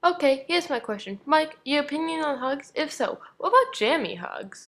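A woman reading fan questions and dares aloud in an animated way for a comic dub. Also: high-pitched, normal speed.

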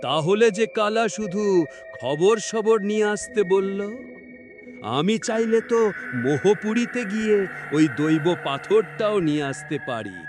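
A man plays a cocky demon henchman in a horror cartoon, high-voiced and eager to please his master. He swaggers and boasts, his pitch swinging widely over background music. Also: animated, unhurried. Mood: boastful. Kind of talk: animated horror fairy-tale acting. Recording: music under the voice.

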